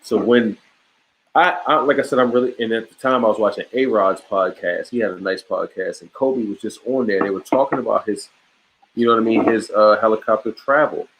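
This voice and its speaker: Low-voiced emphatic man, casual slang. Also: a little fast, background noise. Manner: emphatic, boastful, streetwise slang with restarts. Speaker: podcast host, guest on the show. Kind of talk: casual interview chat.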